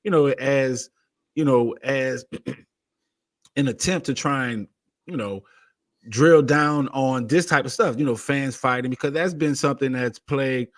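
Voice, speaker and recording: A man's voice: mid-range voice, measured pace. A man co-hosting a casual sports chat, rambling with frequent filler words. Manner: rambling, lots of "you know" fillers. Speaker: co-host.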